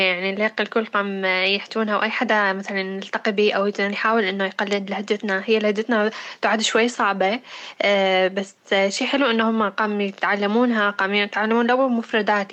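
A woman co-hosts a podcast in an earnest, reflective way, speaking quickly. She has a Deir ez-Zor Euphrates Arabic accent. The audio sounds slightly dull and lo-fi. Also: mid-range voice, little pitch movement, a bit bright. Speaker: podcast co-host. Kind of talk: casual chat.

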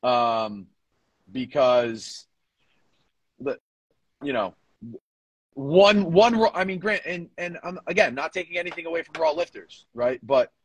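Higher-pitched man in loose podcast chat. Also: lively intonation, breathy edge, dry recording. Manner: halting, repeats phrases as he works out a point; casual swearing. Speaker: podcast co-host; competitive powerlifter. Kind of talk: casual sports podcast chat.

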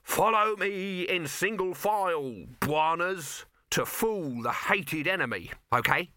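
Man voicing a pushy, swooping, bright-voiced film promoter. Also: sits high, animated, slow pace, no echo, pitched above the performer's own voice, heavily acted. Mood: enthused. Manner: gushing, bossy, dismissive. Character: pushy, excitable, slick male film promoter.

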